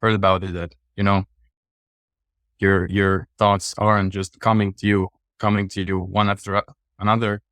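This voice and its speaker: A man from Latvia with a Latvian accent chatting casually as a guest, halting and repeating short phrases. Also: on the low side, flat delivery, fairly bright tone, no echo. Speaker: podcast guest. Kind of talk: casual chat.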